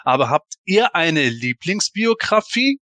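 Bright, forceful male podcast host. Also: a little high, sing-song, measured pace, dry recording. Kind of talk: casual podcast moderation.

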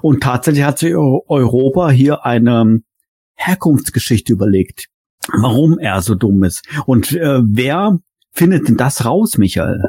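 A male podcast host moderates casually in a dark, mellow and husky voice of medium pitch. The recording is very dry. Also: lively intonation, unhurried, dry recording.

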